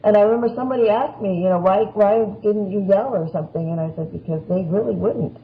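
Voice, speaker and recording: Male panel guest, notably high voice, explanatory and anecdotal, casual talk, dull lo-fi recording. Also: quick pace, creaky. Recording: dull lo-fi audio.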